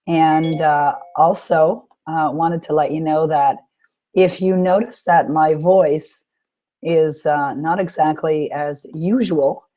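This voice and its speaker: Low-voiced woman with a sore throat. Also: fairly even intonation. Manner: warm encouraging coaching talk with frequent "uh" pauses. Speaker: webinar host and author coach. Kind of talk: live webinar Q&A.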